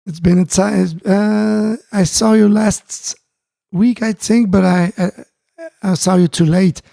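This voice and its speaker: Gravelly, mellow man. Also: sits high, fairly even intonation, no echo, clean studio sound. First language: Dutch. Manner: halting, many fillers and restarts, greets chat viewers. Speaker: live-stream host. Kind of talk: casual live-stream chat. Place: home music studio.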